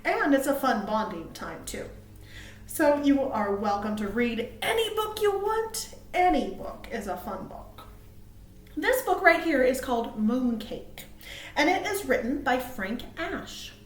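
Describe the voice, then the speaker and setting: A woman reading aloud to small children as a storytime host, cheerful and expressive. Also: high-pitched, unhurried. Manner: cheerful, expressive, asks listeners questions. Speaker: children's librarian hosting storytime. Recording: roomy, echoey sound.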